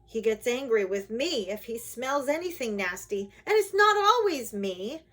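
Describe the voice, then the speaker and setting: Storybook dwarves, female narrator performing: small bearded men, comic, excitable, lively swings in pitch. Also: a little slow, exaggerated voice. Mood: complaining. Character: band of six small bearded male dwarves, boisterous, comic.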